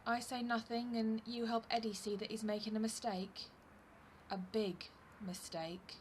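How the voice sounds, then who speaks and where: Spiteful, scheming young British woman, played by an actress; highish voice, theatrical drama delivery, slight background noise. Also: level tone, a little slow, close to an everyday voice. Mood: smug, menacing. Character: spiteful, manipulative hard-drinking young woman. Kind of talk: British audio drama acting.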